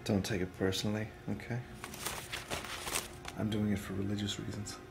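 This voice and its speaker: Low, creaky guarded male helper. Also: little pitch movement, moderate pace, over music. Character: reluctant, kindly male helper sheltering fugitives. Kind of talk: audio drama acting.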